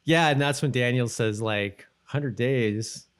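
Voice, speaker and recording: Male podcast co-host, average pitch, loose conversational delivery with halting, repeated words. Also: clear, resonant tone. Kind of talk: casual chat about a TV episode.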